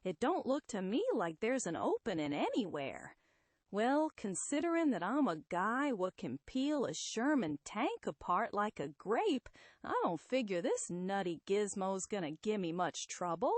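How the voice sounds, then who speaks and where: Hulking monster hero, played by a woman: street slang, tough but tender, swooping pitch, comic-book story read aloud. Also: very animated, measured pace, dry recording. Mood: cocky. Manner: slangy tough-guy talk with dropped grammar.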